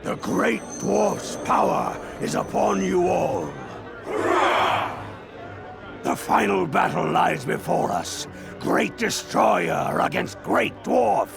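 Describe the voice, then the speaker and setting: Fantasy game dwarf ritual leader, high-pitched, extremely gravelly, slow, grand, zealous. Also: male voice, crowd noise, exaggerated voice. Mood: grand, exultant. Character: solemn dwarven ritual leader, booming and zealous. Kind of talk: dramatic fantasy game acting. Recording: music behind the voice.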